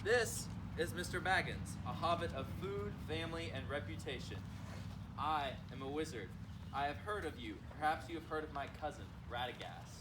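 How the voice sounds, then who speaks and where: A man playing a wise, commanding old wizard in an amateur readers' theater, reading aloud with deliberate, halting delivery. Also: fairly high pitch, expressive, natural voice. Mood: polite. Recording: noisy outdoor recording with traffic hum.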